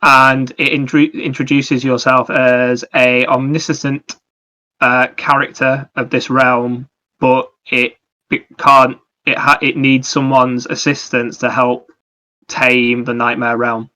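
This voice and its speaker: Male podcast co-host, forceful bright tone, fairly flat intonation, wordy explainer in casual review mode. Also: medium pitch, takes it slowly. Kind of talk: casual video game review chat.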